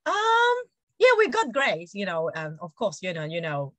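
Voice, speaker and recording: A woman's voice: fairly low pitch, wildly swooping pitch, normal speed, a bit bright, no room sound. A woman in her forties with a Hong Kong accent, chatting casually as an interview guest and often saying "you know". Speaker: interview guest, Montessori educator. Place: indoor room at home, video call.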